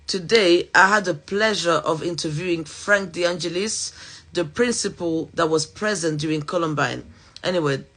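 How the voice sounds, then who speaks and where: A woman's voice: lowish voice, fairly bright tone. A woman from Portugal and Switzerland hosting a casual live interview, informal and given to repeating her words. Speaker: podcast host.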